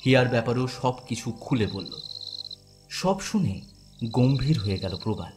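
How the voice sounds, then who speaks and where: A man's voice: medium pitch, animated, normal speed. A man narrating a scripted horror audio story in a dramatic style. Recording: music bed behind.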